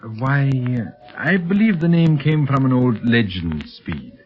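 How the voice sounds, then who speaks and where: An actor playing an aging, eccentric villain inventor, formal and evasive, in 1930s radio serial style. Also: male voice, medium pitch, big pitch swings, slight background noise. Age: older. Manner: formal, courtly, hesitant evasive pauses. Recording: muffled old 1930s radio recording.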